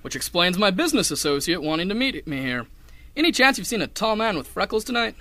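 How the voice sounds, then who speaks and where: A young man playing a hard-boiled, wry detective who narrates a 1930s noir radio drama in a deadpan way. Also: high-pitched, fast, no echo, full character voice. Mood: pushy. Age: young adult. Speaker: narrator and lead actor.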